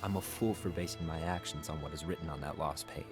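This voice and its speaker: A man's voice: rumbling low, fast, over music. A man playing an earnest, troubled young warrior in a dramatic game cutscene, brooding and intense. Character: earnest, troubled young male keyblade leader.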